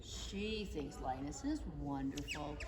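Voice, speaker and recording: A woman narrating a children's reader's theater story in an expressive, animated way, recorded from afar in an echoey hall. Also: deep voice, slow pace, very noisy. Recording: echoey hall, mic far off.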